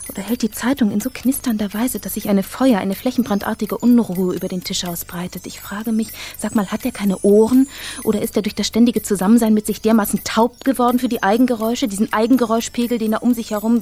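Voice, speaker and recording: Female actor as contemptuous fussy wife, creaky mid-range voice, nervy, halting, radio drama monologue, faint music. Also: medium pitch, darkish tone, lightly acted. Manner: halting, nervy, self-interrupting. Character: irritated, fussy, diet-obsessed wife, full of contempt.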